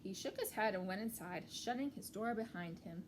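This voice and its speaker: A woman's voice: mid-range voice, lively intonation, normal speed, a little hiss or hum. A woman reading a picture book to young children, explaining things in simple, repeated words like a teacher. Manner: clear, simple explaining with repetition for small children. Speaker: children's story-time reader and teacher.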